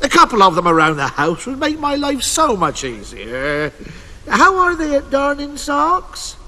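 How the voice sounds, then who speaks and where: Shrill, fawning game villager. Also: male voice, huge pitch swings, unhurried, background noise, exaggerated voice. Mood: pleading, wheedling. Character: fawning, cheeky villager begging the dark lord. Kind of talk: video game cutscene acting.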